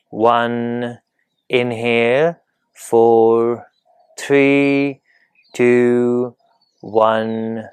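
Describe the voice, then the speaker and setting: A man leading a guided meditation in a calm, gentle, unhurried manner, leaving long pauses between phrases. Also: mid-range voice, clean tone, no echo.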